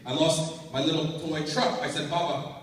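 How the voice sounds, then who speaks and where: A young man with a high voice does an animated stand-up set, often restarting his sentences. He is heard from a distant mic in an echoey conference hall. A noisy crowd sits behind him. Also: normal speed. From United States. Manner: animated storytelling with frequent restarts. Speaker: stand-up comedian. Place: conference stage before a live audience.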